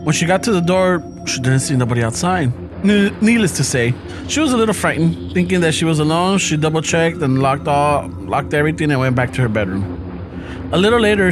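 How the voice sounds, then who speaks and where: Man, Chicano English accent, slightly high voice, lively pitch, casual story reading, music underneath. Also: fairly quick. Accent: Chicano English with Spanish phrases. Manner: laid-back, swears often, laughs through lines. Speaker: podcast host. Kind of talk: casual chat, reading listener stories.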